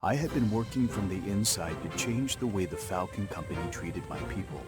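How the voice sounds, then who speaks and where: Low-voiced, earnest frontier company man. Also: animated, a little fast, music in the background. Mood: solemn. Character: earnest, principled Lakota man, company agent and narrator. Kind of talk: scripted game cutscene acting and narration.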